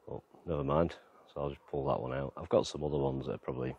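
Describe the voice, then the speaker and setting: A man with a very deep, low voice talks his way around his allotment and garden. His delivery is relaxed and rambling, with plenty of ums and you-knows. He is outdoors, filming on a handheld camera. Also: fast, breathy edge, muffled recording. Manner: relaxed, rambling, lots of fillers. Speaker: gardening vlogger. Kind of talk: casual walk-around garden tour.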